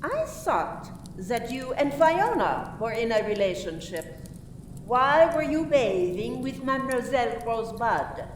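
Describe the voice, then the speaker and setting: A woman playing a stern, accusing French police inspector in a radio play, questioning suspects formally and deliberately. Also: on the high side, very animated, recorded from a distance, slight background noise. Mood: stern, probing. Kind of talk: community radio play acting. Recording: roomy, off-mic, music behind.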